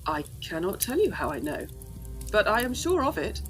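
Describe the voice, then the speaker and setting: A resolute young Victorian gentlewoman, with a medium-pitched voice and formal, measured phrasing. She sounds full of conviction, with faint music behind her as in a gothic radio play. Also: sing-song, rough recording. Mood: calm certainty. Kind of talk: gothic audio drama acting.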